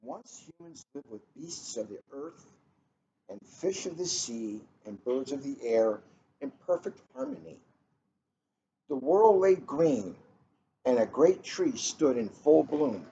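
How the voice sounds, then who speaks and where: Middle-aged male reader, slow and deliberate, casual storybook delivery, dull noisy recording. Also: medium pitch, big pitch swings, mellow. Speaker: police officer reading a picture book aloud. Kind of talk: casual chat and storybook read-aloud. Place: coffee shop, indoors. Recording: muffled, noisy room recording.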